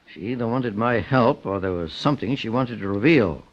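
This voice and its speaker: An American actor playing a calm, formal, authoritative gentleman detective in an old-time radio drama, speaking in a measured, deliberate way. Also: fairly low pitch. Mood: thoughtful. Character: calm, authoritative gentleman private investigator, the boss. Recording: muffled old radio broadcast.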